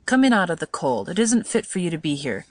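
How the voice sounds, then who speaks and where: A woman's voice: mid-range voice, expressive, moderate pace, no room sound, clean studio sound, natural voice. A woman voicing an exasperated, straightforward young man in a storybook, reasoning earnestly and impatiently with his mother. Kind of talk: dramatised audiobook reading of old-fashioned fiction.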